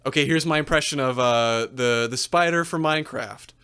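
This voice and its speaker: A man playing an awkward stand-up comic who stammers through monster impressions, with halting filler words. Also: mid-range voice, sharp tone, clean tone, close to an everyday voice.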